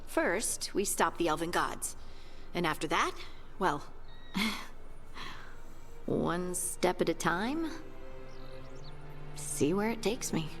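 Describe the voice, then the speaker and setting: A young dwarf woman, a resolute scout in a fantasy video game. She speaks slowly in a slightly low voice, earnest and warm with a gentle teasing streak. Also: lively intonation, faint music behind, heavily acted. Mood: reflective, hopeful.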